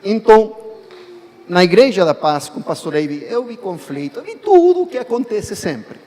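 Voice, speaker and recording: A man's voice: high-pitched, big pitch swings, normal speed, mellow. Man preaching in a church hall. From United States. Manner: conversational, asks the congregation questions. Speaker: guest preacher. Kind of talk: sermon.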